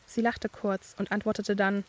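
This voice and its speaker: A woman playing a young student who narrates her eerie experiences in a measured, scripted first-person style. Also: mid-range voice, flat delivery, natural voice. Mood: measured, uneasy.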